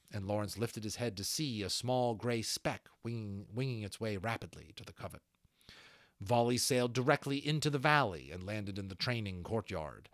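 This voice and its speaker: A man reading a novel aloud as an audiobook narrator, in an expressive storytelling style. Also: fairly low pitch, no echo, clean studio sound. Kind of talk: expressive storybook narration with brief asides to listeners.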